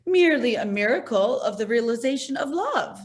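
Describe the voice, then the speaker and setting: A woman's voice: fairly high pitch, expressive, fairly quick, lightly acted. A woman playing a composed, scheming, romantic society lady in a stage play, speaking with theatrical assurance. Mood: knowing. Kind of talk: stage acting in an online one-act play.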